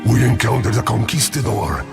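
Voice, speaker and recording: A grave cartoon figure, delivering dire words with urgency. His pitch swings dramatically and has a gravelly creak. Loud music swells behind him. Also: lively intonation, brisk. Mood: grave warning. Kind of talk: dramatic animated series acting.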